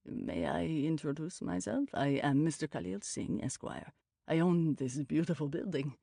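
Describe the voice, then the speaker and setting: A man's voice: a little high, sing-song, moderate pace, creaky, dark tone, dry recording, studio quality, exaggerated voice. A woman voicing a breezy, middle-aged male landlord with a lilting British Indian accent and formal, effusive phrasing in an audiobook. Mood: jovial, pompous. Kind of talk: audiobook character acting.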